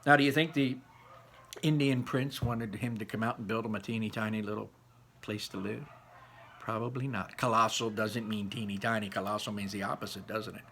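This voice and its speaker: A man reading a children's book aloud, conversationally pausing to explain and put questions to his listeners. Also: on the low side, steady tone, a bit bright, slight background noise. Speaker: read-aloud storyteller explaining words to children. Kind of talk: children's book read-aloud with teaching asides.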